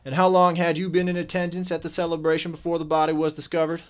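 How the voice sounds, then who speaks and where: An actor playing a composed, courteous murder detective in a radio drama, speaking formally and with authority. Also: male voice, on the high side, monotone, quick pace, smooth, natural voice. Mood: probing.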